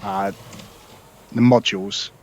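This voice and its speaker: A man's voice: lowish voice, fairly even intonation, takes it slowly, a little hiss or hum. A man hosting a casual gameplay tutorial, explaining things in a chatty, upbeat way. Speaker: gaming video host.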